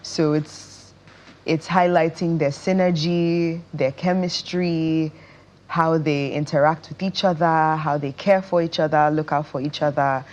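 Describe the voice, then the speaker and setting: A woman with a Nigerian accent speaking as a guest in a casual interview, explaining things in a measured way. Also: low voice, fairly even intonation, a little mellow. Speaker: interview guest, stage actress.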